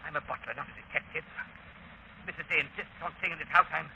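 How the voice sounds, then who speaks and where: A man's voice: a little high, fast talker, vocal fry, background noise. An actor playing a nervous male butler with no wish to investigate, in 1930s radio style on an old broadcast. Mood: uneasy, grumbling. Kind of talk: 1930s radio mystery acting.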